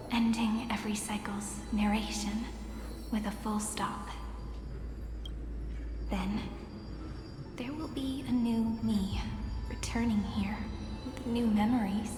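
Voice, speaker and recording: Music swells behind a gentle young woman played by an actress in a video game story. She speaks softly and slowly, wistful and resigned, with long reverb trailing her lyrical lines. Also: mid-range voice, close to an everyday voice. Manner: slow, measured, lyrical. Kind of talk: dramatic video game voice acting. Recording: echoey hall reverb under loud music.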